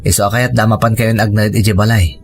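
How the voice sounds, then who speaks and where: A man's voice: fairly low pitch, steady tone, fairly quick, close to an everyday voice. A man playing an earnest young husband in a scripted audio drama, persuasive and determined to provide for his family. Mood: reassuring, determined. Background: faint music.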